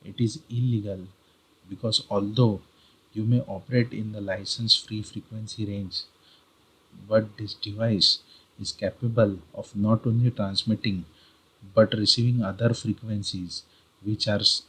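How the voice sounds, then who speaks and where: A man with an Indian accent narrates a tutorial. His voice sits on the low side and has a husky grain. He speaks deliberately, restating key points like a careful instructor. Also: fairly bright tone, rough recording. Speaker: video guide presenter.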